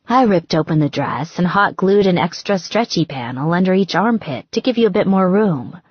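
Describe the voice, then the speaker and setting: Woman as a brash, husky, no-nonsense friend. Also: on the low side, lively intonation, moderate pace, dry recording, muffled recording. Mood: matter-of-fact. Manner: blunt, matter-of-fact. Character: brash, proud, practical woman friend, blunt and foul-mouthed. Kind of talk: audiobook dialogue.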